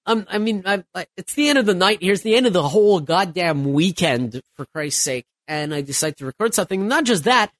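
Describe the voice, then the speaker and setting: A man's voice: sits high, animated, a little fast, hardly any pauses, fairly bright tone, dry recording, studio quality. A man in his thirties hosting his own podcast alone late at night. He is stuffed up from a cold and rambles loosely, with many restarts and fillers. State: stuffed up, getting over a cold. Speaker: podcast host.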